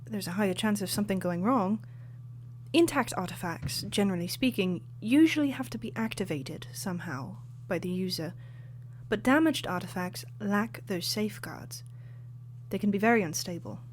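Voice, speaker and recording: A woman voicing a female artifact historian and author who explains things in a measured, lecturing way. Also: mid-range voice, expressive, a little hiss or hum, lightly acted. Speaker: expert guest. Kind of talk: audio drama acting.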